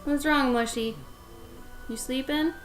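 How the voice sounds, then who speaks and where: Raspy-voiced woman chatting on a livestream. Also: high voice, slow pace, soft background music, rough recording. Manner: chatty, rambling, talks to her dog and to chat. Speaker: livestreaming pet portrait artist. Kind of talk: casual chat while drawing on a livestream. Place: home art studio with plastic bins.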